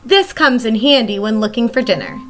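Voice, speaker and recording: A woman's voice: fairly high pitch, animated, fairly quick, soft background music. A woman teacher reading a nonfiction children's book aloud, talking straight to young listeners and explaining things simply. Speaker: elementary school teacher.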